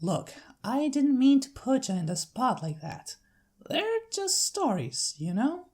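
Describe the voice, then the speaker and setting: Woman as a folksy Southern farm pony, pitched up. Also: wildly swooping pitch, slow pace, darkish tone, slightly rough, heavily acted. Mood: apologetic. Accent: American Southern. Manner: folksy, casual, hearty. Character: friendly, plain-spoken country farm pony, young woman.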